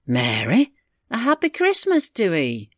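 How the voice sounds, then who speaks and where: A woman's voice: on the low side, huge pitch swings, unhurried, no echo, clean studio sound, voice pushed up, full character voice. A woman voicing an old, sly, supposedly deaf village woman with a quavering rural English dialect in a story reading. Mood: warm, secretly amused. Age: older. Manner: quavering, rustic.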